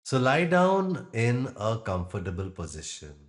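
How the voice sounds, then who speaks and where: A man guiding a meditation as a yoga nidra teacher, speaking in gentle instructions with long pauses. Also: medium pitch, big pitch swings. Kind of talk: guided meditation.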